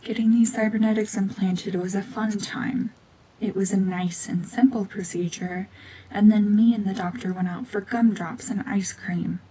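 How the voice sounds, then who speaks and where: A woman voicing a cold, mean renegade gorgon witch in a hushed, half-whispered ASMR drama, domineering and sardonic. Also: medium pitch, fairly even intonation, moderate pace, slight background noise, natural voice, rough recording. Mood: bitter sarcasm.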